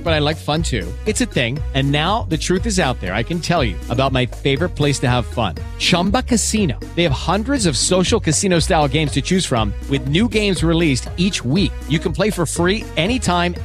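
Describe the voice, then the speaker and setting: A man reads a scripted promotional spot in a friendly, upbeat tone. His voice sits a little high for a man. Faint music runs beneath. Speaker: ad reader. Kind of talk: scripted ad read.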